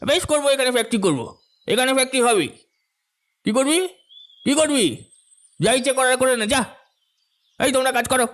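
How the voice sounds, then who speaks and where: A man's voice: very high voice, animated, moderate pace, long pauses, fairly bright tone. A man acting as an arrogant, bullying landowner in a cartoon, taunting and boastful. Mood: defiant. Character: arrogant bullying male landowner with political connections. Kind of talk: cartoon story dialogue.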